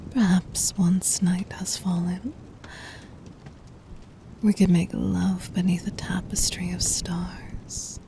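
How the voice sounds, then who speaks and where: A woman playing a gentle, doting demon queen in an ASMR roleplay, in a soft breathy whisper with tender, formal, intimate delivery. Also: on the low side, flat delivery, takes it slowly, pauses often. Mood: fond, relaxed.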